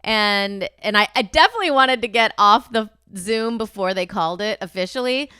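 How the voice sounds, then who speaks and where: A woman's voice: medium pitch, normal speed, bright, forceful tone, clear, resonant tone, no echo, clean studio sound. A young woman co-hosting a podcast, chatting casually, laughing easily and trailing off when she is unsure. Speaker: podcast co-host. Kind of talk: casual comedic chat.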